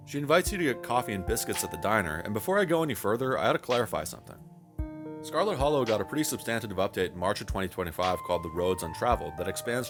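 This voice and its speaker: Male game reviewer for video essay: very quick delivery, wry and wordy, self-deprecating, music underneath. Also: lowish voice. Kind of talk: scripted video essay narration, conversational.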